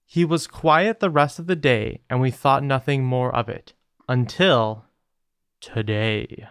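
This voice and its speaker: Guy podcast cohost, reads stories out n chats casually, crisp clean voice, no room echo. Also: medium pitch, takes it slowly, fairly bright tone, studio quality. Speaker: podcast co-host. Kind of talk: casual podcast chat and reading listener emails aloud.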